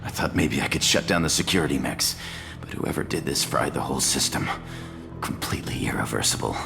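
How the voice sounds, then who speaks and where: A gruff, suspicious injured tech. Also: male voice, a bass voice, brisk, pushed and bright, faint music behind, full character voice. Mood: grumbling. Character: wounded, bitter male station technician. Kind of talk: video game voice acting.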